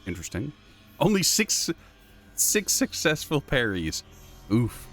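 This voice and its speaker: A man streaming a video game, with a deep voice and dry, muttered asides as he plays. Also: huge pitch swings, takes it slowly, fairly bright tone, soft background music. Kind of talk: casual gameplay commentary.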